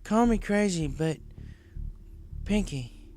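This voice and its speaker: A man voicing a plain-spoken, steady female workhorse pony in a storybook reading. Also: high-pitched, slow, faint music behind, voice pushed up. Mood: hesitant, concerned.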